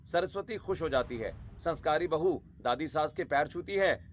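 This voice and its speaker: A man's voice: on the high side, moderate pace, slight background noise. A man narrating a scripted Hindi story.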